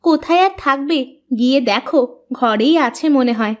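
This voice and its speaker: A woman's voice: high voice, normal speed, close to an everyday voice. Female actor as village mother, bossy, fussing, cartoon dub, muffled recording. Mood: scolding. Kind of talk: Bengali moral cartoon dubbing.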